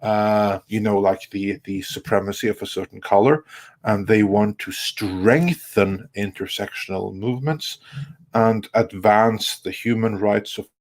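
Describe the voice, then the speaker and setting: A man with a slightly low voice and heavy vocal fry hosts a casual live stream. He talks haltingly, circling back over his words as he goes. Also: steady tone. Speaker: online show host. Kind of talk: casual live-stream chat, reading viewer messages.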